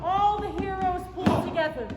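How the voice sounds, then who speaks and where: A woman playing a stately mythic storyteller on stage, with declamatory, deliberate delivery. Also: very high voice, fairly even intonation. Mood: stately narrating. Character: stately mythic storyteller commanding winds and heroes. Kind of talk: theatrical stage narration. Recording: echoing auditorium, music and crowd behind.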